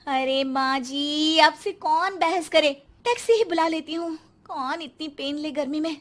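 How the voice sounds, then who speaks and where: A woman's voice: sits high, measured pace. A woman voicing a snobbish young daughter-in-law in a cartoon, haughty and whiny, dropping in English words. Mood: whiny disgust. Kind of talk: exaggerated cartoon voice acting.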